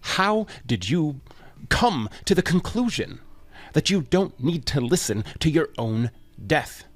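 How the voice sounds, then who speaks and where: An actor playing Death as a wry, weary grim reaper, complaining conversationally in a comedic audio drama. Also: male voice, fairly high pitch, very animated, slow, exaggerated voice. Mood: exasperated.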